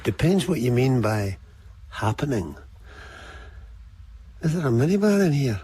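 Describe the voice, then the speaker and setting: A sardonic Scottish man of middle age, needles and banters in a radio play. His voice is mellow and very animated in pitch, with faint noise behind it. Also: measured pace. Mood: teasing, wry. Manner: sarcastic, cocky, bantering. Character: sardonic older Scottish man, embodiment of the unconscious mind. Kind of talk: radio drama acting.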